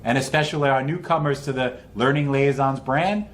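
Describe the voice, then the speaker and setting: A man's voice: mid-range voice, brisk. A man hosting an online test-prep session with an upbeat, informal and encouraging introduction.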